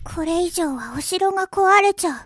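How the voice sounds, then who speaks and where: A woman's voice: extremely high pitch, lively intonation, normal speed, noisy background. A woman playing a composed, soothing young girl in a radio drama. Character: calm young girl soothing her sister. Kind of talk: Japanese anime-style radio drama acting.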